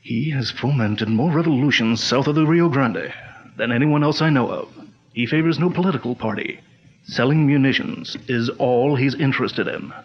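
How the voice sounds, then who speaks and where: An actor playing a calm, commanding male crime-fighter chief in a 1940s-style radio drama, speaking in a measured, steady way. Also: mid-range voice, natural voice. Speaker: lead actor. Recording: dull lo-fi old radio recording.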